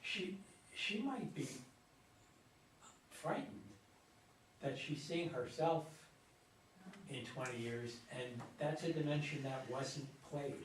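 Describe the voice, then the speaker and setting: A man in a casual group discussion, measured and reflective, pausing to find words. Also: fairly high pitch, lively intonation. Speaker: audience member in discussion. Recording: very echoey, distant, faint noise.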